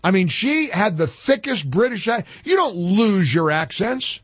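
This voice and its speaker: Husky, animated talk radio host. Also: male voice, high-pitched, measured pace, no room sound, studio quality. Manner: combative, repeats himself for emphasis.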